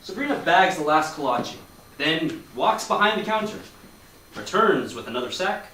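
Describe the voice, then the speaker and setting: A man's voice: fairly high pitch, sing-song, normal speed. A man reading stage directions as the narrator of a staged play reading. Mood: matter-of-fact. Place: live staged reading in a room. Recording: roomy, distant mic, faint noise.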